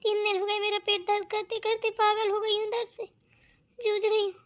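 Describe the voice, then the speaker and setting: A woman voicing a put-upon young daughter-in-law in a family cartoon, plaintive, meek and quietly resentful. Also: very high-pitched, little pitch movement, normal speed. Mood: pained, self-pitying. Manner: plaintive, complaining, dutiful. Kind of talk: Hindi family cartoon melodrama.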